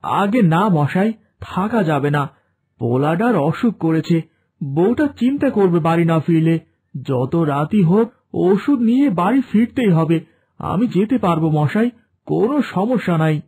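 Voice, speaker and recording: A man acts a humble village van driver for a horror cartoon. The voice sits high and moves slowly, with a dry sound. He comes across as a polite, worried, hardworking father. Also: lively intonation, warm tone, husky, no room sound, heavily acted. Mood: anxious, determined. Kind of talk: Bengali horror cartoon dialogue.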